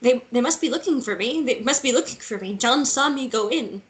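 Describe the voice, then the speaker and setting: Woman acting a high-voiced bewildered young woman. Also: lively intonation, a little fast, natural voice. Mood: confused. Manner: insistent, repetitive questioning. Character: bewildered, frightened young woman searching for answers. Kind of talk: emotional table read drama acting.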